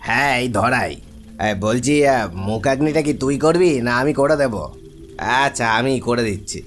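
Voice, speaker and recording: A man's voice: mid-range voice, moderate pace, fairly bright tone, lightly acted. A man voicing a shady, nervous corpse burner in a horror cartoon. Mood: anxious. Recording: noisy outdoor-style ambience under voice.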